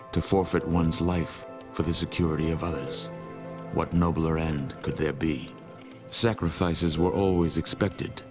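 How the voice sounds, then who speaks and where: A man acting as a solemn narrator for a post-apocalyptic video game epic. The voice is deep and grave, with a measured, portentous delivery. Music plays clearly beneath the scripted narration. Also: lightly acted. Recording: music under the voice.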